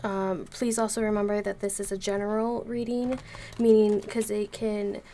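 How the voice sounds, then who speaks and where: Woman tarot reader, still congested from a cold, casual and rambling, middle pitch, faint background hiss. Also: flat delivery, moderate pace, mellow. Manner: chatty, rambling, many fillers. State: congested, recovering from illness. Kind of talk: casual tarot reading to camera.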